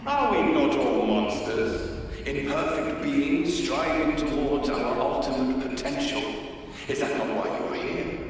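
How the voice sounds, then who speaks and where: A man playing an eerie, philosophical villain scientist with a measured, condescending air in a video game cutscene. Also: fairly high pitch, lively intonation. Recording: distant, long cavernous reverb, noisy ambience.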